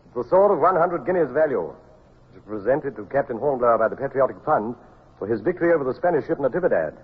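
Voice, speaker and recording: A man's voice: medium pitch, animated, fast, slightly rough. An actor playing a loyal British naval captain, formal and deferential to his superior, with an English accent, in a radio drama. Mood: respectful, loyal. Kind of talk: 1950s radio drama acting. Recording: dull, slightly noisy old radio.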